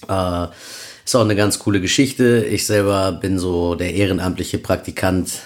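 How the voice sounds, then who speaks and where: A man's voice: low voice, fairly even intonation, normal speed. A man in his thirties, born in Peru, talking as a guest on a casual podcast, rambling with many fillers. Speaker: guest, event promoter.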